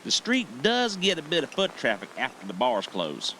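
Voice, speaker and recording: A man's voice: medium pitch, wildly swooping pitch, normal speed, sharp tone, a little hiss or hum, rough recording. A man playing a chatty, evasive bed-and-breakfast host in an audio drama. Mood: defensive, dismissive.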